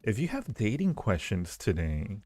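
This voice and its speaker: Male streamer, deep voice, casual and flirty chat, roomy sound with faint music underneath. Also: wildly swooping pitch, moderate pace, a little mellow. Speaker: livestream host. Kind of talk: casual livestream chat with viewers.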